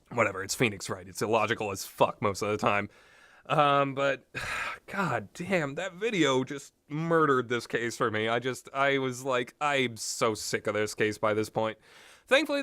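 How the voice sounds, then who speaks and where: A man's voice: medium pitch, pushed and bright, dry recording, studio quality. A man giving unscripted, opinionated talk as a host, wandering off on tangents and swearing casually. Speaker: host of a video game discussion show.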